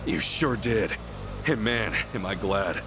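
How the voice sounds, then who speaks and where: An actor voicing a brash, loyal, hot-blooded hero in an action video game, talking bluntly and casually. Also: male voice, fairly low pitch, takes it slowly, music in the background. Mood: determined.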